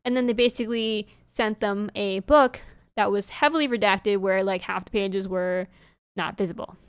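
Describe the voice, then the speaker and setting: Smooth-voiced animated woman co-host. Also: medium pitch, moderate pace, no room sound, clean studio sound. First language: not English. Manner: animated, rambling with many fillers. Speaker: podcast co-host. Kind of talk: casual chat.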